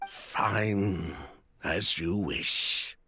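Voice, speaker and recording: An actor voicing a guarded adult merchant in a game, polite and smoothly menacing. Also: male voice, low-pitched, extremely slow, pushed and bright, exaggerated voice. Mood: resigned. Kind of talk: game voice acting.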